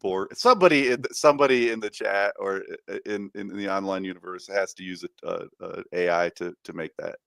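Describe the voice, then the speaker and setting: A man's voice: low voice, fairly quick, vocal fry, hardly any pauses, fairly bright tone, no echo, clean studio sound. A man co-hosting a podcast, chatting eagerly with many stammered restarts. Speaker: podcast co-host. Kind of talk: casual chat.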